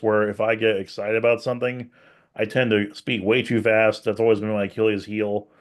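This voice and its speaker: A man co-hosting a podcast from a virtual meeting, giving a thoughtful, self-deprecating evaluation of a speech, with frequent restarts. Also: fairly low pitch. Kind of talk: casual evaluative discussion. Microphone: video call.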